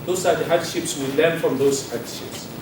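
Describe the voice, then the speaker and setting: A man with a slightly higher voice speaks earnestly to an audience. He is heard from a distance, in a hall with heavy echo. A steady noise sits behind him. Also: moderate pace. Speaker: speaker at a public debate. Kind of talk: public speech. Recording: echoey hall from far off, noisy.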